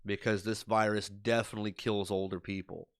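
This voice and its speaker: A man's voice: fairly low pitch, level tone, fairly quick, clean tone. Sick young man with a stuffy nose. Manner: rambling, worried, with many fillers. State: stuffy-nosed and scratchy-voiced from illness. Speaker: streamer. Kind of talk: casual video diary. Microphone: webcam at desk.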